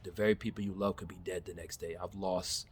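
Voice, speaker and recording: Man in his thirties, low voice, casual self-recorded pep talk outdoors, loose and candid. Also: flat delivery, brisk, a little hiss or hum. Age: 30s. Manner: rambling, candid, laughs at himself, swears casually. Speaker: vlogger. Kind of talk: casual motivational monologue. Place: backyard.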